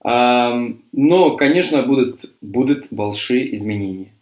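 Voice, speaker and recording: A young man who grew up in Cyprus and speaks English as his first language talks through his answers in a learned language. He hesitates and restarts often, in a mid-pitched voice. The room around him is echoey. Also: takes it slowly, darkish tone. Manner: hesitant, many fillers and restarts. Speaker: university student.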